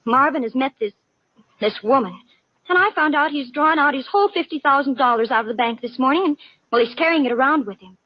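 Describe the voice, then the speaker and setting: High-voiced, lovesick, naive young woman. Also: lively intonation, fairly quick, no echo. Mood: pleading. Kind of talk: 1950s radio comedy-drama acting. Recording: muffled 1950 radio broadcast recording.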